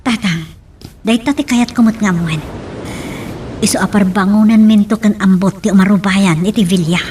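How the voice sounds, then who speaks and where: A woman's voice: medium pitch, normal speed, darkish tone, recorded from a distance, slight background noise, lightly acted. A woman with an Ilocano accent playing a watchful, questioning daughter in a radio drama. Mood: inquisitive, guarded.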